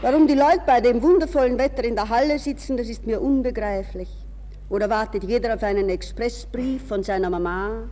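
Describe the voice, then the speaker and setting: A woman's voice: on the high side, brisk, mellow, long reverb tail, lightly acted. A woman playing a restless, ironic young society lady, musing to herself in a radio drama monologue. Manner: musing, self-questioning, shifting between reverie and mockery.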